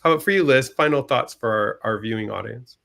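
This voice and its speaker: A man's voice: medium pitch, fast talker, creaky, a bit bright. A man co-hosting a live stream over a video call, talking earnestly and at length about his own experience. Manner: earnest, talkative, open about personal experience. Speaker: co-host. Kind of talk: casual live-stream chat.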